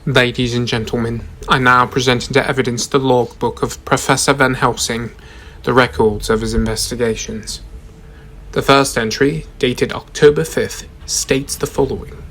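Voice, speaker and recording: Man playing a flat-toned, solemn prosecutor. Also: medium pitch. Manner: formal, measured, declamatory. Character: solemn male court prosecutor reading out evidence. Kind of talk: radio drama acting, formal reading aloud.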